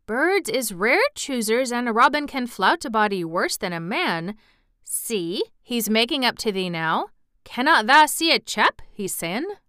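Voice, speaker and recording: Lady doing a friendly country boy, broad yorkshire, assured and easygoing, crisp storybook reading. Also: on the high side, big pitch swings, bright, forceful tone, no room sound, studio quality, heavily acted. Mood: musing, good-humored. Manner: broad rustic dialect, unhurried, assured.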